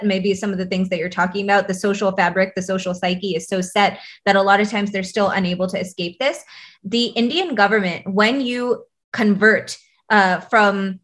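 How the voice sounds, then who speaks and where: American woman explaining in casual chat. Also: medium pitch. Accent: American English. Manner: conversational, frequent fillers and restarts while explaining.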